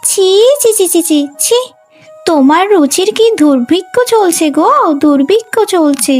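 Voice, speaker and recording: A woman's voice: very high-pitched, expressive, a little slow, a little mellow, soft background music. A woman voicing a pushy, scornful young daughter-in-law in an emphatic cartoon family drama style. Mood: scornful, shocked.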